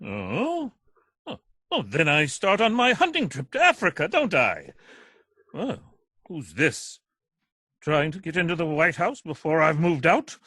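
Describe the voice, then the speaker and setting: Booming, high-pitched deluded would-be president. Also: male voice, huge pitch swings, unhurried, bright, forceful tone, husky, no echo, clean studio sound, heavily acted. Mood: pompous, eager. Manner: booming, boisterous, theatrical. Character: deluded nephew who believes he is President Roosevelt. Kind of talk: stage comedy acting for radio theatre.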